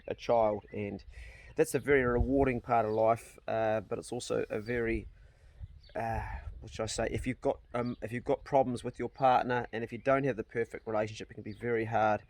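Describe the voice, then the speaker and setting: A New man in his fifties hosting a casual chat, reflective and unhurried, often repeating words as he starts a thought. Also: mid-range voice, fairly even intonation, a little mellow. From New Zealand. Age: 50s. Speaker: channel host. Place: outdoors at a farm.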